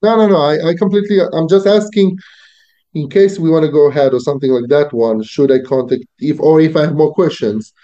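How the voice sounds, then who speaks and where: Man, client on a call, hesitant, stumbling, warm tone, lo-fi sound. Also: on the high side, fairly quick. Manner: hesitant, repeats words, stumbling phrasing. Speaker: client interviewing freelancers. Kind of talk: business call. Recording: muffled call audio.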